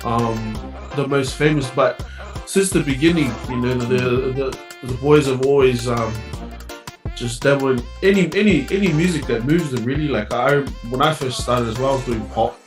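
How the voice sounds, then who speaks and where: A man's voice: mid-range voice, moderate pace, distant mic. A man from New Zealand talks easily about his music, laughing often and leaning on fillers. He sits back from the mic in an echoing room. Music plays faintly underneath. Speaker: DJ and music producer. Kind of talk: casual interview chat.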